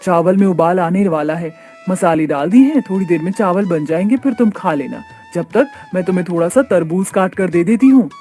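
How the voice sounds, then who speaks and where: A woman playing a gentle, patient young mother from a poor family in a cartoon, with a soothing manner. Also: lowish voice, brisk, faint music behind, close to an everyday voice. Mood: tender.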